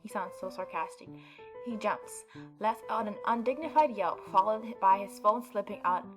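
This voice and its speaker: A woman reads visual novel narration aloud as a gaming YouTuber. She slips between storytelling and casual commentary. Game music is clearly audible behind her medium-pitched voice. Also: normal speed, rough recording.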